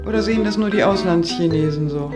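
A woman's voice: on the low side, quick pace, over loud music. A woman interviewer putting questions in a conversational way.

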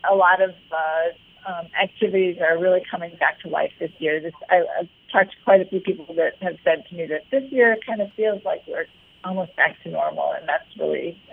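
A woman's voice: fairly low pitch, fairly quick, vocal fry, slight background noise. Woman interview guest on a phone-like line. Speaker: arts organisation leader, interview guest. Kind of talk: conversational explanatory radio interview. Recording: narrow phone-like radio sound.

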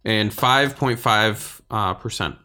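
A man's voice: fairly low pitch, slow, bright, forceful tone, clean tone. A man co-hosting a casual beer podcast, speaking in a laid-back, informal way. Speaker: podcast co-host.

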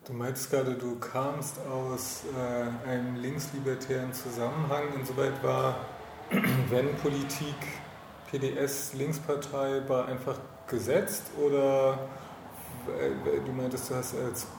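A man's voice: mid-range voice, steady tone, measured pace. A man interviewing casually, tentatively rephrasing his questions as he goes. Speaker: interviewer, podcast host. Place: indoor room, face-to-face over coffee. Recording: echoey room with faint background noise.

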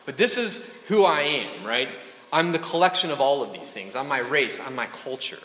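A man's voice: mid-range voice, expressive, moderate pace, pushed and bright. A man giving a casual, conversational sermon to a room of students, often checking in with them and slipping in jokes. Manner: chatty, keeps checking in with "right?" and "okay?"; joking asides to the crowd. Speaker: speaker addressing students. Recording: echoey hall with faint room ambience.

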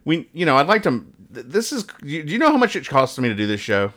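A man's voice: fairly high pitch, huge pitch swings, quick pace, fairly bright tone. A man hosting casual banter as he reads out comic strips, with a rambling, joking, mock-serious manner. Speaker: podcast host.

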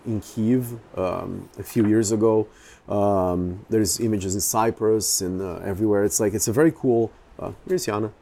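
Man, Portuguese native speaker, warm mellow tone, hesitant, lots of ums and restarts. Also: on the low side. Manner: hesitant, many fillers and restarts. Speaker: photographer. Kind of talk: casual chat.

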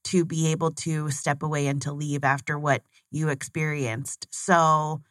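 Low-voiced earnest woman host. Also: little pitch movement, measured pace, fairly bright tone, dry recording, studio quality. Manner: earnest, thoughtful, encouraging. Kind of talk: interview conversation.

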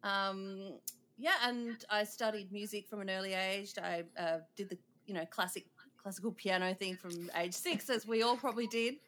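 A woman's voice: mid-range voice, normal speed, a bit bright. A middle-aged Australian woman, a composer and conductor, talking casually as an interview guest, with many ums and frequent laughs.

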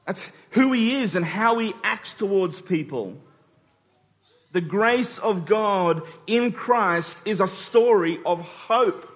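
A man's voice: sits high, lively intonation, slow, fairly bright tone. A man preaching a conversational sermon, repeating key phrases for emphasis and checking in with his listeners through short rhetorical questions. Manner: rhetorical check-ins with the congregation.

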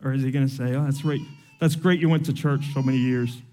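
A man's voice: medium pitch, steady tone, fast, mellow, big-room sound. A young man born in Cuba, with no accent, preaching a sermon full of personal testimony in an earnest, emotional and conversational way.

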